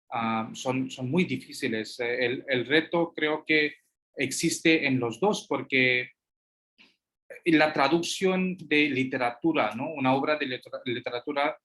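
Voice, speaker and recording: A man whose first language is Hindi, answering audience questions as a guest lecturer, halting often with fillers and restarts. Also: medium pitch, a bit bright.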